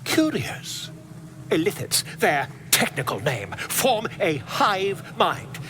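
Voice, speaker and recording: A man's voice: sits high, huge pitch swings, slow, pushed and bright, a little hiss or hum, full character voice. A man voicing a chatty, self-important amateur scholar in a video game, theatrical and easily flustered. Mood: curious, incredulous. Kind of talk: video game character dialogue.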